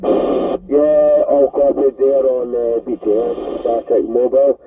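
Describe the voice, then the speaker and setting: A man making a friendly casual CB radio contact, with a husky voice. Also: mid-range voice, fairly even intonation, slow, noisy background. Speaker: CB radio operator. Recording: tinny distant husky CB radio transmission.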